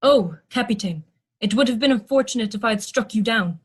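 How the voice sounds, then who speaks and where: A woman's voice: on the high side, fairly quick, very noisy, close to an everyday voice. A woman playing a polite, literal-minded first mate in a sci-fi audio drama, speaking in stilted, earnest, formal phrases. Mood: puzzled, polite.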